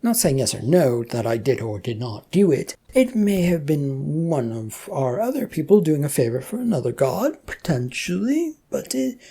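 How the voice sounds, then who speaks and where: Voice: medium pitch, dark tone, breathy edge. A narrator voicing a smug, evasive god with a grandiose, dismissive manner in a story reading. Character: smug, breezy, evasive god founding his own religion.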